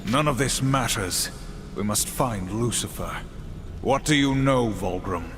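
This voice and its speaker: A man's voice: mid-range voice, unhurried, noisy background, lightly acted. An actor voicing a grim, duty-bound warrior horseman in a video game, stern, humorless and formal in his speech. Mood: grave, resolute. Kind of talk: video game dramatic acting. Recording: long reverb, loud game music behind.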